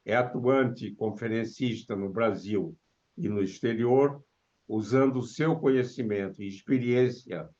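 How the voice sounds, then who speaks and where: A male professor hosting an interview, reading a formal introduction and posing questions in a measured way. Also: mid-range voice, a little mellow, muffled recording.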